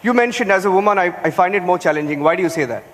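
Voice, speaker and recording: A man's voice: high voice, lively intonation, racing, a bit bright. A man from Karnataka with an Indian accent, a journalist interviewing a guest with stammering restarts. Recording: echoey hall.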